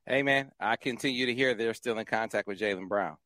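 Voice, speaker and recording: A man's voice: lowish voice, fairly quick, pushed and bright, no room sound. A man hosting a recruiting podcast, talking sports casually and animatedly, given to exclamations and repeats. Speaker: podcast host.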